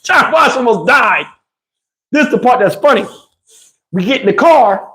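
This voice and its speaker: A man telling personal stories in a casual, animated, slangy way, swearing freely and acting out what people said. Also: very high voice, moderate pace. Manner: acts out dialogue and sound effects. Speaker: storyteller host. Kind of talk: animated casual personal storytelling with acted quotes.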